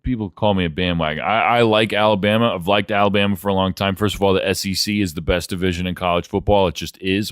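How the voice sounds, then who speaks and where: Male sports co-host, smooth low register, blunt and profane, off-the-cuff chat. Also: no room sound, clean studio sound.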